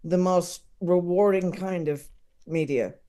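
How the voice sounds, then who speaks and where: Thoughtful interview guest, slow and searching delivery, soft mellow tone, casual and reflective, often doubling back on words. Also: mid-range voice, steady tone. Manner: halting, thoughtful, repeats words while searching for phrasing. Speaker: podcast guest, comedy enthusiast.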